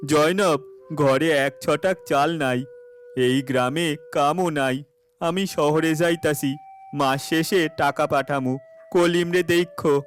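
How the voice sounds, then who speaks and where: Earnest poor farmer played by a man, fairly high. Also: measured pace, soft background music, close to an everyday voice. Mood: sad resolve. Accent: rural Bangladeshi Bengali. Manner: earnest, emotional, plaintive. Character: poor, humble village farmer father, devout and hardworking. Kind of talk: cartoon voice acting.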